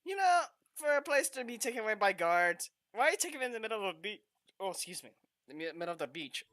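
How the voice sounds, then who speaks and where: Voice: medium pitch, very animated, quick pace, fairly bright tone. A gameplay commentator making wry, offhand remarks in casual let's-play commentary.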